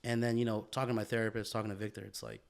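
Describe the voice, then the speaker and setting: A man's voice: fairly low pitch, flat delivery, rapid-fire. A man co-hosting a podcast in a casual, reflective way, swearing freely and repeating himself, with "man" and "bro" thrown in. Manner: informal, profane, repeats phrases, peppers talk with "man" and "bro". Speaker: podcast co-host.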